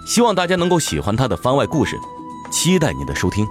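A man delivering scripted narration as a voice actor, in a dramatic, measured storytelling manner. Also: medium pitch, huge pitch swings. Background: music.

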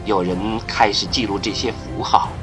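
A man giving expressive, dramatic scripted narration for a radio drama, phrased with deliberate pauses. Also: lowish voice. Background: music.

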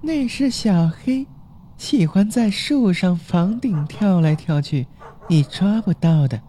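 A woman's voice: on the low side, lively intonation, slow, warm tone, slight background noise. A woman playing a petite young maiden in an audio drama, coy, sweetly polite and a little mysterious. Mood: playful.

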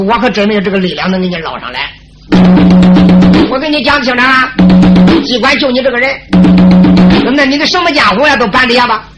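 Woman doing a gruff mocking woodcutter man. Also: a little high, lightly acted. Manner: scornful, teasing, laughing. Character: gruff mocking male woodcutter, middle-aged.

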